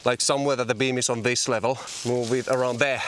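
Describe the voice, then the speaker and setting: A man chatting casually as he teaches a beginner bushcraft in a forest, friendly and relaxed as he works. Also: mid-range voice, quick pace. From Finland. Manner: relaxed, friendly, explains as he builds. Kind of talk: casual instructional chat while working. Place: outdoors in a forest. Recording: outdoor noise and clicks.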